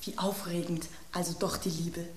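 An actress voicing a vain, romantic female rose who talks with dramatic excitement, in a radio play. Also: lowish voice, moderate pace, roomy sound, a little hiss or hum, natural voice. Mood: excited.

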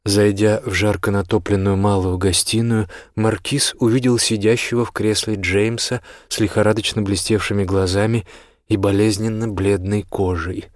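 A man's voice: lowish voice, level tone, normal speed, no echo, clean studio sound. A man reading scripted fiction as the narrator of an audiobook.

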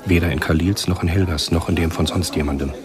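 A man narrating a spy thriller radio drama in a measured, literary storytelling manner. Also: low-pitched, steady tone, crowd noise, natural voice. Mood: grave, measured.

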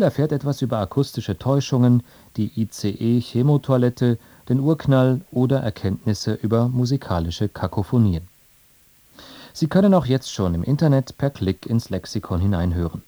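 A man presents scripted radio continuity with a standard German accent. His voice is on the low side and notably soft-edged and dark. He speaks in a measured, articulate way.

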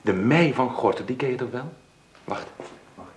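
A man's voice: medium pitch, expressive, moderate pace, a little hiss or hum, close to an everyday voice. An actor playing a sulky, possessive painter wooing a woman in a radio drama, insistent and teasing, repeating himself. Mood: coaxing.

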